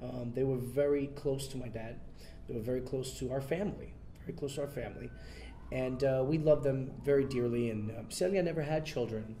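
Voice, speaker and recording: A man being interviewed, talking in a relaxed way with many fillers and restarts. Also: mid-range voice, fairly quick, a little hiss or hum. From United States. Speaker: interview guest, son of a famous bandleader. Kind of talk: casual interview.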